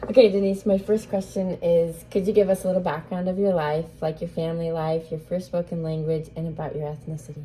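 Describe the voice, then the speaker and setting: A woman's voice: fairly low pitch, level tone, brisk, few pauses, dark tone, slight background noise, low-quality recording. A young woman student interviewing for a class project, asking rambling questions with fillers.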